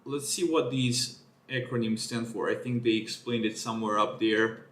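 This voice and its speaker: A man talks through a technical paper live, thinking aloud and correcting himself as he goes. His voice sits at an ordinary middle pitch. The room around him gives a noticeable echo. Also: steady tone, moderate pace, fairly bright tone, slight background noise. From Serbia. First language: Serbian. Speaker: streamer reading a research paper. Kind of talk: live-streamed paper walkthrough.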